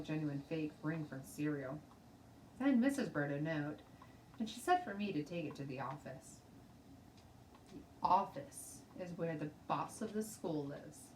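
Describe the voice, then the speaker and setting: A woman teacher reading a children's storybook aloud in an expressive, deliberate way. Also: low voice. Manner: deliberate, animated read-aloud. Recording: roomy, distant mic, faint noise.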